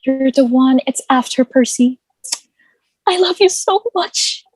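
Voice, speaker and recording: A woman's voice: high-pitched, slow pace, a little mellow, no echo, rough recording. A woman playing a brave, protective, worried mother in a theatrical table read, urgent and emphatic. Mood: urgent, defiant.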